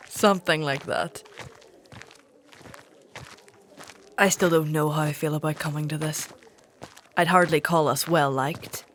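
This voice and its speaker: Dry, low-pitched scholarly alchemist. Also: female voice, fairly even intonation, normal speed, fairly bright tone, a little hiss or hum. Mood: guarded. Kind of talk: audio drama dialogue. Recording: busy crowd and music behind.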